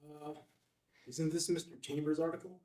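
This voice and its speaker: A man's voice: a little high, steady tone, moderate pace, dark tone, natural voice. A man playing a nervous, deferential young reporter in a film table read, hesitant and awkward. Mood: nervous, admiring. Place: room at a filmed table read. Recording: roomy, distant microphone.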